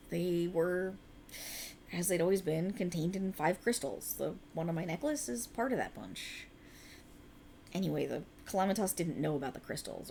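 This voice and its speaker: Brief: woman playing a young alien friend passing as human, lowish voice, swinging pitch, earnest and teasing, ASMR roleplay. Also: slight background noise. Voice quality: soft, intimate and lively, with blunt teasing and swearing.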